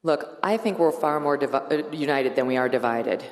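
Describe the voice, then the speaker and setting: A middle-aged woman speaking bluntly and emphatically in an onstage conference conversation. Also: a bass voice. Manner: blunt, emphatic, quick to laugh. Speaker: show host, interview guest. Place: large conference hall stage with live audience. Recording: long hall reverb.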